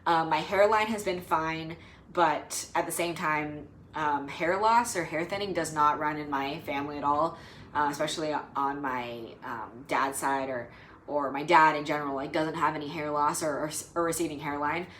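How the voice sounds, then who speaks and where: A woman's voice: sits low, fairly even intonation, moderate pace, fairly bright tone, a little hiss or hum. A young adult woman vlogging casually to the camera, rambling and hesitant with lots of fillers. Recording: roomy echo.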